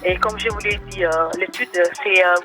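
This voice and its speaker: A woman working as a telemarketer, giving a polite, explanatory sales pitch on a phone call. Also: steady tone, brisk. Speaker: telemarketer selling solar panels. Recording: phone call over faint music.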